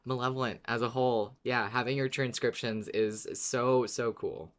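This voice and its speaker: Very low-voiced woman, effusive podcast co-host. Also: steady tone, normal speed. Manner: effusive, informal, sweary. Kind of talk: casual podcast chat.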